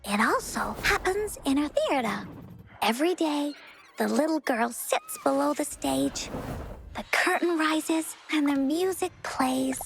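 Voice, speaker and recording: High, animated little girl storyteller. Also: a little slow, creaky, soft background music, exaggerated voice. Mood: earnest storytelling. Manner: childlike, expressive, storybook-style narration. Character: cheerful, imaginative young girl who loves telling adventure stories. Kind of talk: video game voice acting, storytelling.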